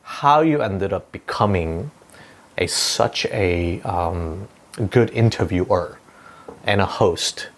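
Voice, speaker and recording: A man interviewing a guest in a casual way, phrasing his questions slowly with many fillers. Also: deep voice.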